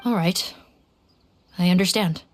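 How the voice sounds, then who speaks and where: A woman's voice: fairly low pitch, unhurried, lightly acted. An actress playing a cautious, dutiful law officer in a video game, serious and restrained in her delivery. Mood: wary, serious. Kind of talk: dramatic video game voice acting.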